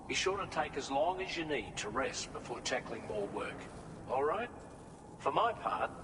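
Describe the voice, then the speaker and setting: A man playing a practical adviser who briefs a courier on routes in a game cutscene, in a steady, colloquial manner. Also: medium pitch, noisy background, lightly acted. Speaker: mission supporter. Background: game music.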